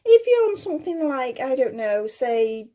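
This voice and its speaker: A woman giving casual step-by-step craft instruction in a slightly gruff voice, chatty and reassuring, often correcting herself as she goes. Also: sing-song, moderate pace, warm tone. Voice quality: slightly gruff, lower than her usual voice. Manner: chatty, self-correcting, reassuring toward beginners. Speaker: crochet tutorial host.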